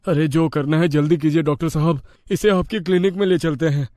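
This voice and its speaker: A man's voice: fairly high pitch, fairly even intonation, a little fast, darkish tone, no room sound, clean studio sound, pitched above the performer's own voice, natural voice. A man voicing an anxious young husband, urging and agitated, in a moral-story cartoon. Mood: urgent. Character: anxious, urgent young husband and brother-in-law.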